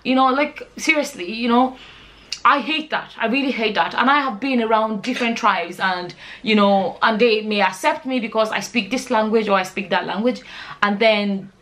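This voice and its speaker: A woman's voice: on the high side, moderate pace, fairly bright tone. A woman of forty from Nigeria chatting informally as a vlogger, often repeating words and phrases for emphasis. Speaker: vlogger, channel host. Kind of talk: casual chatty vlog answering viewer questions.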